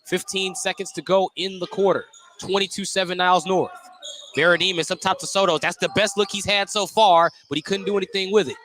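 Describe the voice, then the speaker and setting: A man with an American accent and a fairly high voice calls basketball live on the radio. He works as the play-by-play announcer, following the ball in short phrases. A little music sits behind him. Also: steady tone, a little fast, a bit bright, poor audio.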